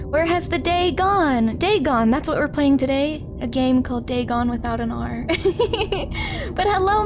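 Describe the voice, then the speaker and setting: A woman hosting a live stream, chatting casually with viewers in an excitable, giggly, exclaiming way. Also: high-pitched. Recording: background music behind voice. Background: music.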